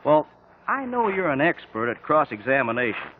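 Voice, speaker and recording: Animated inquisitive American newspaper reporter. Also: male voice, on the high side, moderate pace. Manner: eager, polite, questioning. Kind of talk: 1950s radio drama acting. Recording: noisy tinny old radio recording.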